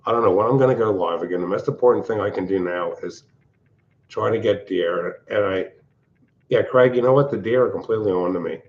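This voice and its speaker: Loud, blunt older man, low voice. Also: brisk, dull audio. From Pennsylvania. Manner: blunt, irritable, rambling. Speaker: livestream host. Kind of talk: casual livestream chat. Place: large open-plan house at night.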